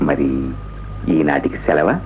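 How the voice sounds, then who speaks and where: An actor playing a smitten, courtly stranger in a radio play, speaking in a measured, poetic, gallant way. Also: male voice, rumbling low, fairly even intonation, a little mellow, faint music behind. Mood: tender, earnest. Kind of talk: theatrical radio play acting. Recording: dull, noisy old radio play recording.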